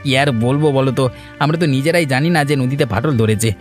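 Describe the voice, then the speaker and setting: A man's voice: mid-range voice, fast talker, soft background music, natural voice. A man voicing a worried village fish seller in a cartoon, speaking in an earnest, plain way.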